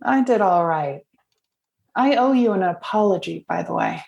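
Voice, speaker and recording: A woman's voice: medium pitch, expressive, moderate pace, creaky, darkish tone, lo-fi sound. A woman acting a teasing, guarded space officer with a dry manner in an audio drama. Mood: sincere, confident.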